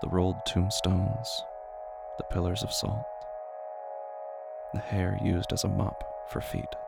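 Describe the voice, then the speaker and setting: A man with a low, heavily creaky voice narrates horror fiction. His delivery is solemn and poetic, each phrase placed deliberately, with music strong behind him. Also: level tone. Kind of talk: scripted literary horror narration.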